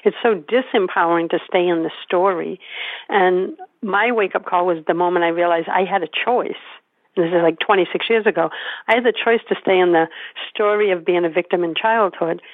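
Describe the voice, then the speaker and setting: A woman's voice: on the low side, no room sound. A woman host interviewing an author, enthusiastic and affirming. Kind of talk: interview conversation. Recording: dry phone-like audio.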